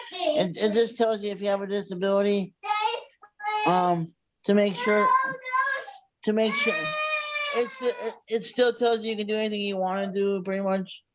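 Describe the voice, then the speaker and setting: A man's voice: sits high, steady tone, lo-fi sound, a little hiss or hum. A man sharing his goals on a video call, stumbling and repeating words as he talks. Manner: halting, repeats words and restarts often. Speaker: meeting participant.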